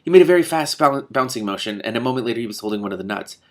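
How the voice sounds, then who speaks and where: A man's voice: medium pitch, animated, rapid-fire. Man reading a storybook aloud expressively. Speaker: reader and commentator.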